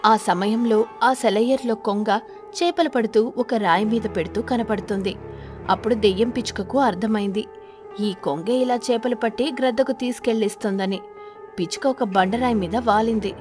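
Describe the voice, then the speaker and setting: Woman telling a kids animated story, highish voice, soft music behind her. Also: normal speed. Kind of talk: scripted children's story narration.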